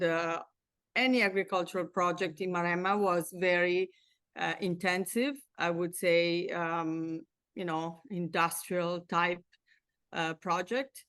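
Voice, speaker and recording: A middle-aged Italian woman speaks slowly and thoughtfully, her voice sitting a little low. Her Italian accent colors her English, and she pauses often, restarting phrases as she searches for words. The sound is close and dry, with no room around it. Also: a bit bright, clear, resonant tone, no room sound. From Italy. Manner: hesitant, many fillers and restarts. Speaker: winery founder, interview guest. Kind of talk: casual interview conversation.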